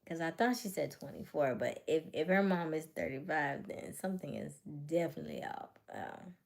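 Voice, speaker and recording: A woman in her late thirties hosting a casual livestream chat, teasing and playful, slipping into quiet asides. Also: deep voice, big pitch swings, moderate pace, vocal fry. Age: late 30s. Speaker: livestream host. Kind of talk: casual live chat with viewers.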